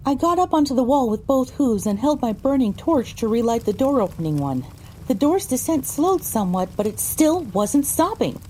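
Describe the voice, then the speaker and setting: A woman's voice: high voice, very animated, normal speed, mellow, slight background noise. A woman narrating a first-person story for an audio drama, reading descriptively with dramatic phrasing.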